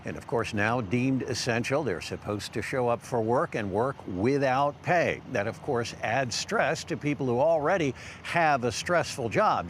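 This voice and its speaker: A man's voice: medium pitch, a little hiss or hum. A man with an American accent delivering a scripted television news report as a field reporter.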